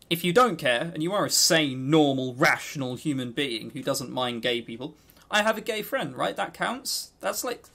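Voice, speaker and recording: Gravelly, joking man chatting on a podcast. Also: a little high, a bit bright. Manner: sweary, jokey, rambling. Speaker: podcast co-host. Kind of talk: casual unscripted visual novel review chat.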